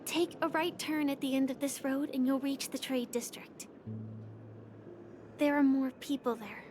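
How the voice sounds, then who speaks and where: An actress playing a composed, observant young guide in a video game, speaking gently and explaining things with poise. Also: female voice, high-pitched, steady tone, unhurried, soft background music. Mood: composed, gentle. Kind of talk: scripted video game dialogue.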